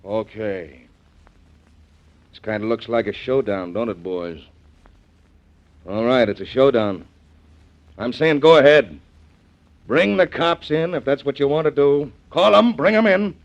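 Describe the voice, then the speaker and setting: An actor playing a hot-tempered cowboy airline partner with a drawl, in 1940s radio acting style. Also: male voice, mid-range voice, unhurried, pauses often, close to an everyday voice. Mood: defiant. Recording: dull lo-fi old radio recording.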